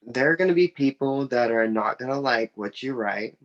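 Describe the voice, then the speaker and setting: A man's voice: medium pitch, expressive, normal speed, dull audio. A young man with a Southern US accent, talking casually and earnestly as an interview guest. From South Carolina, United States. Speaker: interview guest, author and musician.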